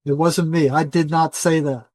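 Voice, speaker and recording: Older man, higher-pitched, telling stories. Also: flat delivery, normal speed, darkish tone, dry recording. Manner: rambling, colloquial, acts out quoted dialogue. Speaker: interview guest, retired psychotherapist. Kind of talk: conversational storytelling, casual interview.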